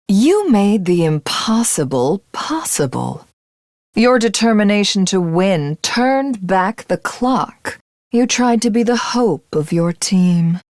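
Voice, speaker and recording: Proud cold warrior queen type for a game, slow n formal, pitch swings a lot, super dry studio sound. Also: darkish tone, dry recording. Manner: imperious, measured, formal, commanding. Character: proud, cold alien warrior woman, supreme commander. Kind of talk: video game character voice acting.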